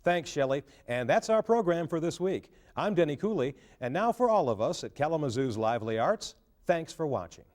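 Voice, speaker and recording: A man's voice: a little high, big pitch swings, fairly quick, a little mellow. A man presenting as a television host in a scripted style. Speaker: TV program host.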